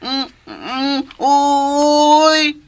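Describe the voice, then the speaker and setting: A man playing a childlike, simple-minded man in a radio drama, with halting, repetitive speech and a Filipino accent. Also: very high voice, steady tone, exaggerated voice. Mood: childlike, happy. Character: childlike, simple-minded man devoted to his living doll. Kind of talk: Cebuano radio drama acting.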